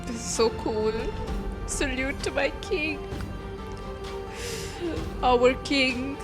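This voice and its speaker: Young woman streamer, high voice, casual, slangy, exclamatory game reactions, music behind. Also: very slow. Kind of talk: casual playthrough reaction commentary.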